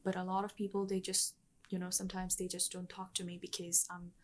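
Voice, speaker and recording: A woman's voice: on the low side, completely flat delivery, fairly quick, slight background noise. Hesitant young woman. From Bangladesh. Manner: hesitant, many ums and restarts. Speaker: guest, university student. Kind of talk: casual interview conversation.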